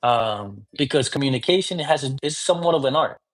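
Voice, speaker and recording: A man talks through spiritual practice in a loose, conversational teaching style. He drops Spanish words into his English and keeps checking in with "you get me?" His pitch is in the ordinary middle range. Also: animated, a little fast. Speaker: priest teaching divination. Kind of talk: casual teaching talk.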